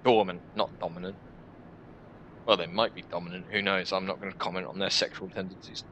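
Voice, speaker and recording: A man in his twenties reading a first-person story aloud in an expressive, deliberate, dramatic style. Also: low voice, highs cut off, slight background noise. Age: 20s. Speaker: video creator reading a visual novel. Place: small room at home, indoors.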